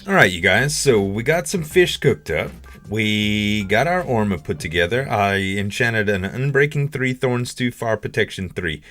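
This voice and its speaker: A man's voice: lowish voice, animated, unhurried, few pauses, a bit bright, faint music behind. A man giving casual gaming commentary as a video host, rambling and thinking aloud.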